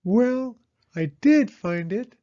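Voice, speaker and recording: A fussy male owl from a children's storybook. The voice sits far up high and swings dramatically, full of alarm and exclamation. The sound is a little dull. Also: animated, slow, warm tone. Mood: evasive.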